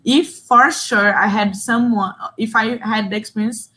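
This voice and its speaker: A woman's voice: on the high side, steady tone, unhurried, pushed and bright. A young woman from Brazil with a Brazilian Portuguese accent, leading an online training session in English, reading chat answers aloud and praising them briefly. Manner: reads chat replies aloud, short praising interjections. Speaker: session facilitator. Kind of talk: online workshop facilitation.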